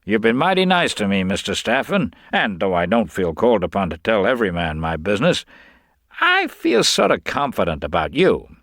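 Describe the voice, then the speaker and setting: Man acting smooth male swindler, radio drama, earnest sales patter, confiding, lively swooping pitch, dry close recording. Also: moderate pace, no echo, full character voice.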